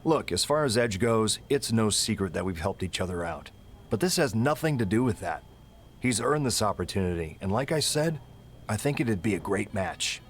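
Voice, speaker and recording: Wrestling general manager in a game, lowish voice, firm and businesslike, slight background noise. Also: male voice, animated, moderate pace. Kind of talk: scripted game dialogue.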